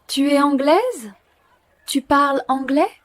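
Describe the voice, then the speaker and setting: A woman asking friendly, bright questions in a scripted lesson dialogue. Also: high voice, animated, takes it slowly.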